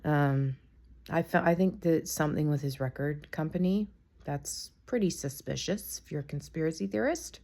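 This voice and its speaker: Woman, raspy sick voice, gravelly, lowish pitch, chatty and opinionated, rambling gossip vlog. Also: normal speed, a little mellow. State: sick, raspy from a cold, coughing. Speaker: vlogger. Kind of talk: casual gossip vlog to camera.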